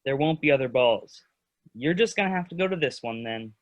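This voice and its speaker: A man playing a loyal, easygoing young friend in a children's fairy tale play, speaking in a plain, low-key way. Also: lively intonation, brisk, no echo, close to an everyday voice. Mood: reassuring. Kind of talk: staged reading of children's theater fairy tale.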